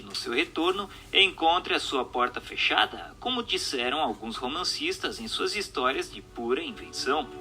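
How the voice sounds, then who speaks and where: Narrator: Brazilian man, formal and didactic, very sharp bright tone, slightly high pitch, scripted delivery. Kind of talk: scripted narration of a religious text.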